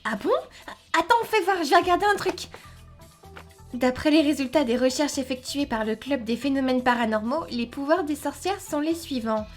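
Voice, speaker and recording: A woman's voice: sits high, expressive, moderate pace, faint music behind. A woman playing an eager, pushy teenage member of a paranormal club in a French anime fan dub, insistent and enthusiastic. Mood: curious.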